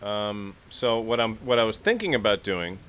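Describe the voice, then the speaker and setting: A man's voice: on the low side, very animated, moderate pace, fairly bright tone, slight background noise. A man talking casually as a player in a tabletop role-playing game.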